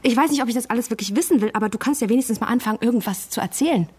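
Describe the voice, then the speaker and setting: An actress playing a hurt, exasperated wife in a radio drama, pressing for answers in an accusing, emotional, insistent way. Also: female voice, on the high side, very fast, a little mellow, husky. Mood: hurt, pleading. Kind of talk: naturalistic radio drama acting.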